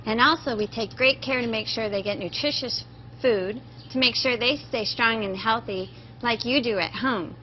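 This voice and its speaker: A woman's voice: fairly high pitch, moderate pace. A woman in her forties, an egg farmer explaining things to children, recorded in a farm shed with noise behind. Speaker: egg farmer, interview guest. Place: semi-open metal farm shed. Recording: dull audio with background noise.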